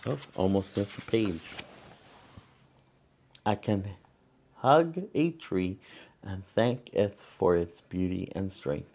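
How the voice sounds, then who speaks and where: Slow, low-voiced man reading to children. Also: vocal fry. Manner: gentle, deliberate, pausing between phrases. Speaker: children's storyteller and host. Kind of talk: read-aloud of a picture book with asides to children.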